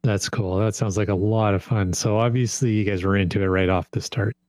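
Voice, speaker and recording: A man's voice: lowish voice, fairly even intonation, a little fast, a little mellow, dry recording, studio quality. A man hosting a podcast, asking questions in an upbeat, chatty way. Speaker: podcast host. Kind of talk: casual interview chat.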